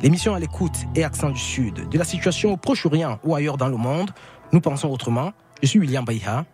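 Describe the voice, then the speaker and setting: A fairly high-pitched man hosts a radio show from a studio, measured and often pausing with small hesitations. Music plays clearly under his voice. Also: expressive. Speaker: radio host. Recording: radio broadcast with music behind.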